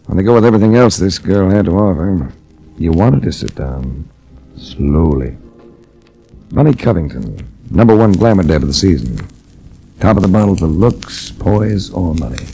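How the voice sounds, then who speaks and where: A man's voice: low voice, animated, moderate pace, dark tone, faint music behind. An actor playing a hard-boiled, unflappable male investigator, dry and wisecracking, narrating and talking in a 1940s radio noir. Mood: admiring. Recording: noisy restored 1940s radio recording.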